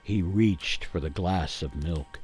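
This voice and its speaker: A man playing a grave gingerbread man, narrating a radio play as a haunted survivor with theatrical suspense and weighty pauses. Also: low-pitched, a little mellow, breathy edge, faint music behind, heavily acted. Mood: hushed suspense. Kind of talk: dramatic first-person storytelling for a radio play.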